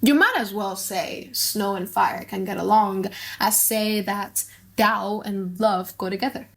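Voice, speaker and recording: A woman's voice: mid-range voice, measured pace, creaky, fairly bright tone. A woman voicing an earnest, impatient male suitor tormented by love in an audiobook play, sighing as she speaks. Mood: earnest, fearful.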